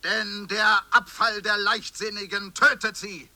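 A man performing a solemn prophet in an avant-garde radio play, chanting in a theatrical, drawn-out way. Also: high-pitched, little pitch movement, bright, forceful tone, dry recording, full character voice. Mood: solemn, ominous. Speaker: performer in a spoken-word sound composition.

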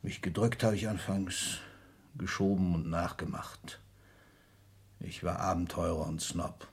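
A man's voice: low-pitched, steady tone, a little slow, vocal fry, husky, exaggerated voice. An older man acting in a German radio play as a disillusioned, commanding industrialist patriarch with an emphatic, theatrical delivery. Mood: weary, confessional.

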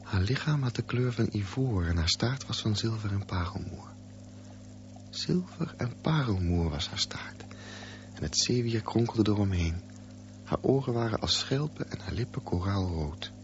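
A man giving dreamy, lyrical literary narration in a radio drama, in a hushed storytelling manner. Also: fairly low pitch, expressive, normal speed. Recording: muffled recording with faint hum.

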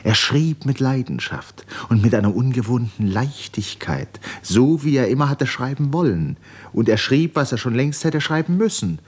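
A man narrating a literary radio play in a measured, dramatic manner with deliberate pauses. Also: mid-range voice, sing-song, darkish tone, breathy edge.

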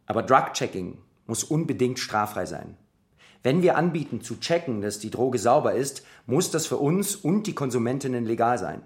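An actor in a radio drama playing an earnest, idealistic local politician who speaks articulately. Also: male voice, medium pitch, lightly acted. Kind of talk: naturalistic German radio drama.

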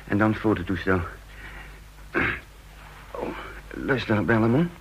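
A man's voice: lowish voice, a little slow, slight background noise, rough recording. An actor playing a tense, wounded secret agent who narrates his own mission in a radio drama. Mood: businesslike, strained. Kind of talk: radio drama acting and first-person narration.

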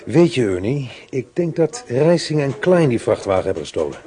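A thoughtful police inspector who muses aloud slowly, his pitch moving in lively swings as a man plays him. His voice sits at a middle pitch. It is a radio-drama role. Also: close to an everyday voice. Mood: musing. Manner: slow, musing. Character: pensive male police inspector.

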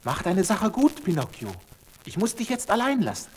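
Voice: mid-range voice, big pitch swings, moderate pace, background noise, natural voice. An actor playing a noble, kindly falcon, declaiming solemnly in a children's radio play. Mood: solemn, caring.

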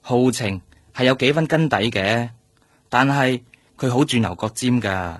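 Man acting a husky, cautioning doctor. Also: medium pitch, unhurried, no room sound, lightly acted. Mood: cautioning, firm. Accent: Hong Kong Cantonese. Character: firm, cautioning male senior doctor, protective and guarded. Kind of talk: Cantonese radio drama acting.

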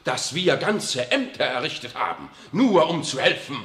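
An actor playing a fawning, sharp older Vatican cardinal in a 1960s radio drama, with an unctuous way of speaking. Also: male voice, on the high side, very animated, moderate pace. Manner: unctuous, tacks on "nicht wahr". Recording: echoey room.

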